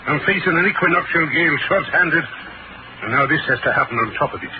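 An actor playing a harried, brusque sea captain in a 1940s radio drama. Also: male voice, a little high, expressive, quick pace, lightly acted. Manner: brusque, impatient. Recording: muffled old radio broadcast with hiss.

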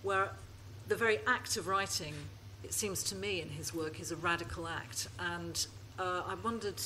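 A woman's voice: lowish voice, moderate pace, a little hiss or hum, low-quality recording. A woman hosting a literary panel on stage, hesitant and full of ums as she thanks the writers and poses questions. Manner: warm-hearted, hesitant, with many ums. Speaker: host and panel moderator. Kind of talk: moderating a live literary panel. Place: festival stage before a live audience.

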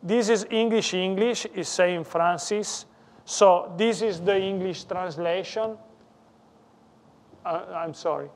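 A man with an Italian accent, a native Italian speaker, lecturing in halting English that he often corrects mid-sentence. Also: high-pitched. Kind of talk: technical conference talk. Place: lecture room.

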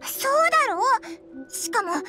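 A woman voicing a small childlike fairy companion in an anime game, squeaky, excitable and anxious, exclaiming in boyish casual speech. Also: normal speed, lightly acted. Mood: worried. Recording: music behind the voice. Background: loud game music.

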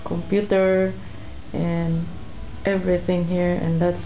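A middle-aged woman walking through her house with a camcorder, naming each room in a halting, casual way. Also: fairly low pitch, little pitch movement, dull audio. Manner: halting, pointing things out one by one. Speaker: mother giving a house tour. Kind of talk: casual home-video narration. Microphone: built-in camcorder mic. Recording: echoey camcorder audio with music over it.

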